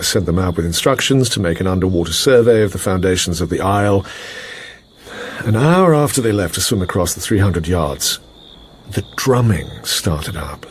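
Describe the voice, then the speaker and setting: A British intelligence officer in a radio drama, with a low voice that rises and dips theatrically. The delivery is gravelly, with hesitant storytelling and clipped, well-bred turns of phrase. A faint noise sits behind the recording. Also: male voice. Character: British intelligence station officer briefing a secret agent. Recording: faint background noise and ambience.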